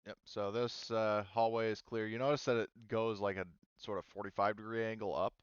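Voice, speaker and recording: A man running a tabletop roleplaying game as game master, narrating off the cuff in a halting, casual, sweary way. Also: on the low side, steady tone, clean tone, no echo, highs cut off.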